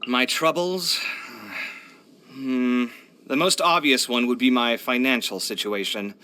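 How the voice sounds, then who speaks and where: A man's voice: mid-range voice, slow pace, slight background noise. A man voicing a proud, earnest young architect for a video game, chatty and candid in his delivery. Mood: embarrassed, resigned. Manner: chatty, candid, expressive, sighing. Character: young male architect, proud, earnest, expressive, hard-luck. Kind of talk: video game character voice lines.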